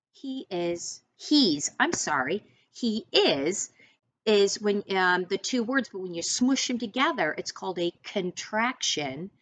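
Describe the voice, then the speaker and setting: A middle-aged American woman reads a picture book aloud for first graders. Her pitch swings widely and her pace is slow, and she speaks straight to the children as she explains words. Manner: expressive, deliberate, instructing listeners directly. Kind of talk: picture book read-aloud for young children with teaching asides. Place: small room indoors, seated at a desk.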